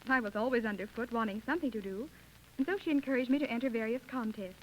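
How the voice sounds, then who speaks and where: A woman's voice: fairly high pitch, quick pace. An eighteen-year-old American woman answering questions as a guest in a scripted 1930s radio interview. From United States. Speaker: interview guest, contest winner. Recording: dull, hissy 1930s radio recording.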